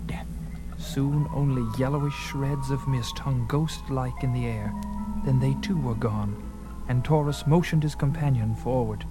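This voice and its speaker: A man's voice: mid-range voice, a little slow, poor audio. A man giving theatrical, suspenseful narration of a dramatized story. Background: music.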